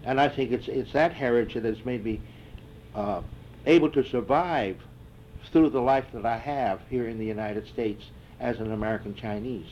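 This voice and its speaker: An older man in an oral history interview, answering reflectively and haltingly with many fillers and restarts. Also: medium pitch, darkish tone. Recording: dull lo-fi tape with faint hiss.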